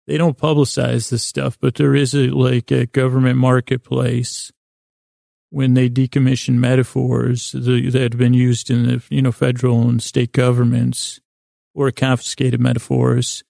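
A man with a deeply crackly, gravel-edged voice rambles through a bedtime podcast monologue. His tone is mellow and fairly flat in melody. He wanders whimsically, tripping over and repeating words as he goes. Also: measured pace, dry recording, studio quality. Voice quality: gravelly, creaky. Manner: meandering, stumbling, repeats and breaks off words; silly, whimsical, soothing intent. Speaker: podcast host.

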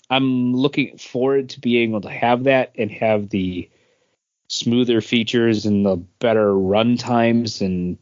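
A man in his thirties chatting casually as a podcast co-host, rambling through his story with plenty of "like" and "uh". His voice sits at a middle pitch, and the recording sounds a bit dull and lo-fi. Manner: rambling, filler-heavy storytelling. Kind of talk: casual chat.

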